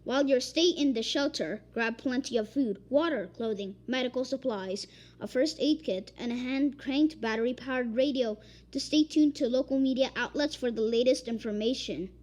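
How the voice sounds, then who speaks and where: A woman reading a made-up emergency alert, halting and stumbling over repeated words. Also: on the high side, darkish tone. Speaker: amateur emergency alert announcer. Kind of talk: improvised emergency broadcast reading.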